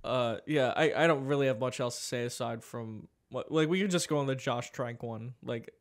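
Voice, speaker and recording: A man's voice: mid-range voice, normal speed. Young man ranting casually, swearing. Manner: profane, rambling, laughs often. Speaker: podcast host. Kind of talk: casual chat.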